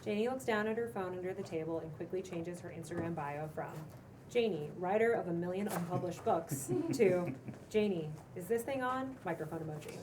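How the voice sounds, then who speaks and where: Lower-voiced woman reading stage directions. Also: a little fast, recorded from a distance. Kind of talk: scripted narration at a table read. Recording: noisy room recording.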